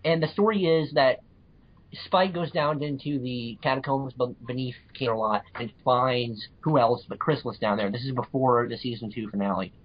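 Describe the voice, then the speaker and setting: A man's voice: mid-range voice, fairly even intonation, normal speed. A man co-hosting a casual podcast, recommending stories in a gushing, enthusiastic way. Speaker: podcast co-host. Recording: dull, lo-fi audio.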